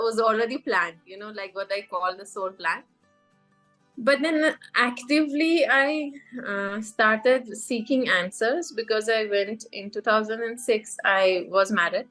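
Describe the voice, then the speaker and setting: A woman's voice: mid-range voice, fairly bright tone, low-quality recording. A middle-aged woman from Iran, a life coach, telling her story in a podcast interview with many fillers and restarts.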